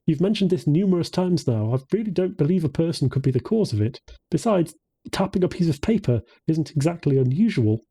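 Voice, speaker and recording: A man's voice: on the high side, expressive, fairly quick, dark tone, breathy edge, pitched above the performer's own voice. A man voicing a friendly, confident male friend in an audiobook, charming and nonchalant. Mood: concerned disapproval.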